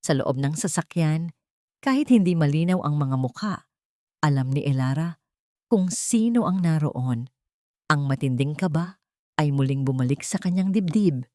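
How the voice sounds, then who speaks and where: A woman's voice: deep voice, expressive, normal speed, long pauses, a little mellow, dry recording, clean studio sound. A woman reading scripted dramatic story narration in a solemn manner.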